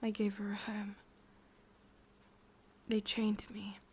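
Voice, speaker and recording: A woman with an English accent voicing a sorrowful, obsessive witch in an intimate ASMR roleplay, speaking softly in halting, confiding whispers. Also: medium pitch, monotone, slight background noise. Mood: grieving. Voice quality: soft, breathy, mostly whispered. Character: sorrowful, obsessive female witch, tender yet menacing.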